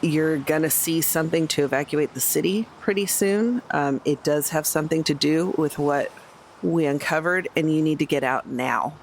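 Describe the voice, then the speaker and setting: A man's voice: fairly high pitch, moderate pace, a little hiss or hum. A man talking casually as a player in a tabletop roleplaying game.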